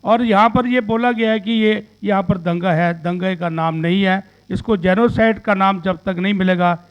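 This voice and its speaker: A man's voice: high-pitched, smooth, lo-fi sound. A man in his sixties giving an earnest, rambling statement to the press and repeating phrases as he makes an impassioned appeal. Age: 60s. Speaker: social activist and businessman giving a media statement.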